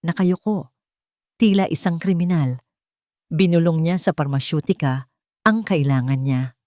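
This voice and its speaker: Low, mellow woman narrating a love story. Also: a little slow, no room sound, studio quality. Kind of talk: scripted dramatic narration of a romance story.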